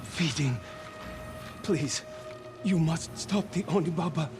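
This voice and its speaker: A man voicing a possessed man who pleads for help in a strained, slow voice over music. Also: a little high. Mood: pleading.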